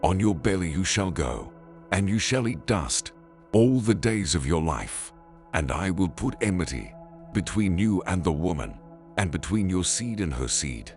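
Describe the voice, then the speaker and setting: A man's voice: sits low, very animated, measured pace, full character voice. A man playing God as a stern, solemn and authoritative judge in a dramatized Bible reading. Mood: solemn condemning. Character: God, stern commanding male judge pronouncing curses. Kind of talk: dramatized Bible audio acting. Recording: background music under the voice.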